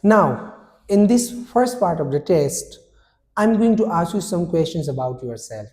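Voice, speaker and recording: Formal male examiner, warm low tone. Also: expressive. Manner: formal, measured questioning. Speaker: speaking test examiner. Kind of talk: scripted exam questions.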